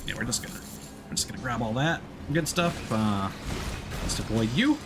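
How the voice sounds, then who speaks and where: A man giving casual live commentary as he plays a video game, thinking aloud in a halting way. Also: medium pitch, expressive, noisy background. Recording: game music behind the voice. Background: game music.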